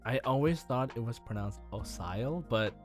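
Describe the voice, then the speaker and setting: A man's voice: mid-range voice, expressive, normal speed, soft background music. A man co-hosting a podcast, chatting casually as he answers quiz questions. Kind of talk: casual chat, reacting and guessing.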